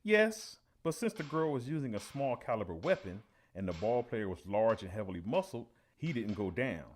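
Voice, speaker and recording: An actor playing an earnest veteran high school teacher giving a measured, official interview in a radio play. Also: male voice, very animated, slight background noise, lightly acted. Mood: official, unruffled.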